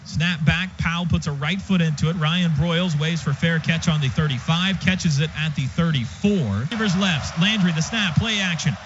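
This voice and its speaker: An American man doing radio play-by-play of a football game in clipped present-tense phrases, excitable on big plays. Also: on the high side, normal speed, few pauses. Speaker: play-by-play announcer. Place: stadium radio booth. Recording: dull, noisy stadium radio broadcast.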